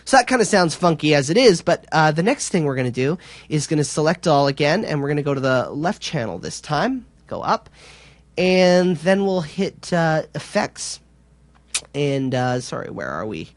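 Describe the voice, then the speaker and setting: A man presenting a software tutorial in a casual, informal way, explaining step by step a little hesitantly. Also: a little high, big pitch swings, moderate pace, dry recording.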